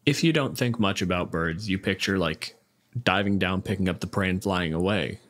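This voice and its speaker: A man's voice: low-pitched, moderate pace, fairly bright tone. A man talking casually on a tabletop gaming panel, explaining and arguing his points in an informal way. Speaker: podcast panelist.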